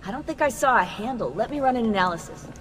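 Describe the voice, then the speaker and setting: A brisk, practical analyst in a video game, with a fairly high voice and casual, blunt delivery. The sound has a long hall reverb. Light music sits behind her. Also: expressive, a bit bright. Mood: focused, frustrated. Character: brisk, matter-of-fact woman tech analyst. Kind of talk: video game dialogue acting.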